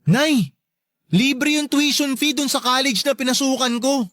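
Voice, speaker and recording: A hurt young son in a radio drama, plaintive n reproachful, super dry close sound. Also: male voice, extremely high pitch, measured pace, fairly bright tone, no room sound. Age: young adult. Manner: plaintive, respectful but reproachful. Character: resentful, neglected grown son, plainspoken and wounded. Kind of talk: emotional radio drama acting.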